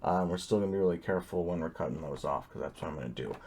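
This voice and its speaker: A man's voice: deep voice, very fast, darkish tone, breathy edge. A man casually talking through a toy unboxing, rambling easily with many ums and uhs.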